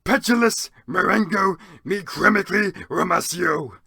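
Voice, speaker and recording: A man voicing a melodramatic, angry, brooding goth wizard in a gravelly, husky, strained, declaiming voice. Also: high-pitched, measured pace, pushed and bright, dry recording, clean studio sound, voice pushed up, exaggerated voice. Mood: bombastic.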